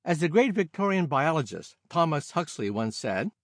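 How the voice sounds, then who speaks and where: A man narrating a nonfiction science audiobook in a scripted, measured and expressive reading style, emphasizing key phrases. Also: a little high, dry recording, clean studio sound. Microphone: close studio microphone, dry sound.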